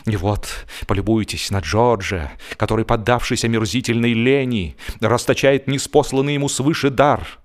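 A man reading humorous fiction as an audiobook narrator, in an expressive, dramatic storytelling manner. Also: medium pitch, normal speed, few pauses. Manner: expressive, acts out the dialogue.

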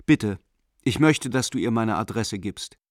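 A man's voice: fairly low pitch, animated, unhurried, dry recording, studio quality. An actor playing a sentimental art dealer in his forties, reading out a letter in a radio drama in an earnest, confiding way. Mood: warm, urging. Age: 40s.

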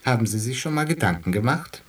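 Animated, brisk salesman played by an actor, slightly distant. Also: medium pitch, natural voice. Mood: businesslike. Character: brisk businesslike senior salesman instructing his new colleague. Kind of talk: German radio play dialogue.

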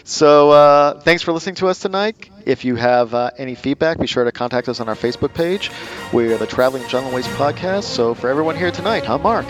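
A man's voice: mid-range voice, a little fast, soft background music. A man hosting a podcast in a casual, chatty style with an enthusiastic manner. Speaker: podcast host.

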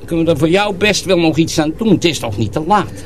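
An actor playing a stern, suspicious master of sorcery, measured and probing, in a radio drama. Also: male voice, a little high, expressive, natural voice. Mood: grave, probing. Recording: noisy radio play recording.

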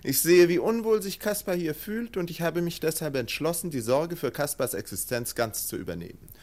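An actor playing a haughty, grandiose English lord in a radio drama, speaking with aloof, deliberate theatricality. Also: male voice, on the high side, lively intonation, a little mellow, natural voice. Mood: haughty, decisive. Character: haughty, theatrical English lord, smooth self-appointed benefactor.

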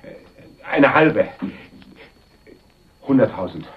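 Cornered, wheedling, animated schemer. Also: male voice, medium pitch, drawn-out words. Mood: desperate bargaining. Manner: hesitant, wheedling. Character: pleading, cornered scheming brother, bargaining for life. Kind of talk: German radio crime drama acting. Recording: dull, muffled radio play recording.

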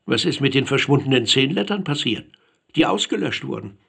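A man narrating a literary radio play as a pensive storyteller, measured and deliberate, pausing between phrases. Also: medium pitch, very animated, creaky, fairly bright tone, breathy edge, exaggerated voice. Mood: pensive, wondering. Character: pensive male narrator musing over vanished letters.

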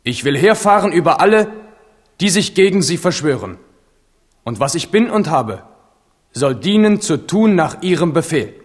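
Resolute, loyal young-hearted heir, fairly high voice, earnest declamation, long hall reverb. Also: male voice, very animated, normal speed. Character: steadfast, proud royal heir, loyal but frank. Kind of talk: German radio play acting, declamatory.

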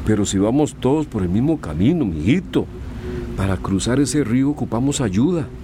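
An actor playing an anxious, pious older migrant in a radio drama, humble, hesitant and pleading. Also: male voice, mid-range voice, lively intonation, moderate pace, noisy background, natural voice. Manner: plaintive, hesitant.

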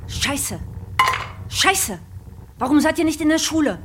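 Frazzled, cursing young mother, swinging pitch. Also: expressive, unhurried, noisy background. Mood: confused, alarmed. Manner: swearing, swinging from sharp outbursts to whispered muttering. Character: confused, exhausted young mother working night shifts, bitter and cursing. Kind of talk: radio play acting.

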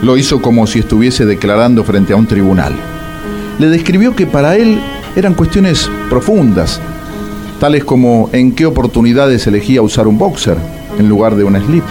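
An actor playing a wry, mock-solemn Argentine storyteller, narrating a scripted radio comedy. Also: male voice, medium pitch, lively intonation, moderate pace, music in the background, natural voice. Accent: Argentine, Rioplatense.

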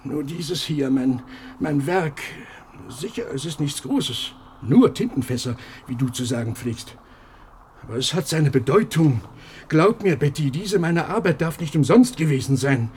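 Fretful, proud old husband for radio drama: highish voice, very animated, rambling laments, audible noise on the recording. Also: male voice, unhurried. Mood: anxious, pleading. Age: older. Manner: agitated, lamenting, rambling, self-interrupting. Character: fretful, proud old husband guarding his life's collection. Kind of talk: German radio play acting.

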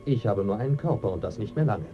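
A man's voice: on the low side, animated, fast talker, phone-quality sound, natural voice. A man acting in a German radio play as a dead writer, a bantering, evasive, pleasure-loving friend who savours his words. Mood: wry, expansive.

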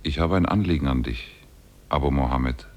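An actor playing a talking ape that is secretly a jinn, solemn, commanding and measured, in a 1950s German radio drama. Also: male voice, a bass voice. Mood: solemn, urging.

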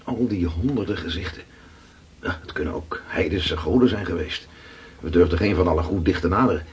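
An actor playing a Dutch sailor slowly recounting his voyage in a radio play. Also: low-pitched, a little hiss or hum, natural voice. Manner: slow, vivid recounting. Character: weathered Dutch sailor telling voyage tales.

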